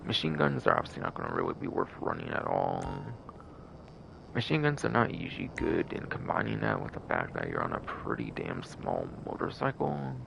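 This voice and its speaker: A man's voice: low voice, huge pitch swings, brisk, vocal fry, noisy background. A man giving casual live game stream commentary in a rambling, repetitive way full of filler words, with some casual swearing.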